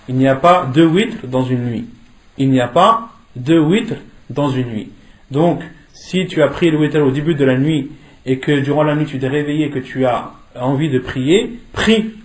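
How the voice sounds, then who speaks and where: A man giving an interactive religious lesson, posing questions in a didactic way and repeating key phrases for emphasis. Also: on the high side. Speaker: religious teacher. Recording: echoey room.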